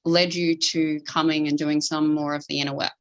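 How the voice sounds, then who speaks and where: A woman hosting a podcast, introducing her guest and asking questions, laughing often and speaking in a halting way. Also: low-pitched, level tone. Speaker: podcast host. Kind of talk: interview hosting.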